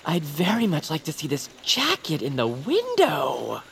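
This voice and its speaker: A woman playing an excitable, earnest small male bird-like creature in a family audio comedy, eager and wordy, with gasps and exclamations. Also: deep voice, unhurried, gravelly, a little hiss or hum, full character voice. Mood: eager, polite.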